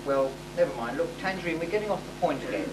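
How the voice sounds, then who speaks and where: Pompous pantomime sheikh dad, played by a woman, swooping delivery with stagey pauses, heard across a noisy hall. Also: sits low, animated. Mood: blustering. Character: pompous sheikh father of a princess, middle-aged man. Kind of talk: amateur pantomime stage acting. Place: hall stage, distant microphone. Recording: echoey hall from far off, noisy amateur tape.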